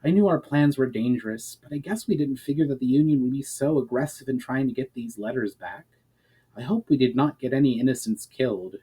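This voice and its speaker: A man voicing a gentle, earnest young woman, a knight's niece, in a fantasy audiobook. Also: medium pitch, fairly quick, breathy edge, close to an everyday voice, rough recording. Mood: apologetic.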